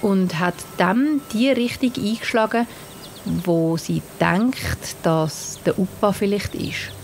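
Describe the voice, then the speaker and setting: Swiss german lady reading a kids bedtime story, really slow and soothing, bit of creak in the voice. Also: medium pitch, a little hiss or hum. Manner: slow, gentle, soothing delivery. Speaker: author and narrator of a children's bedtime story. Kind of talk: scripted bedtime story narration for children. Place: studio. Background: soft music and sound design.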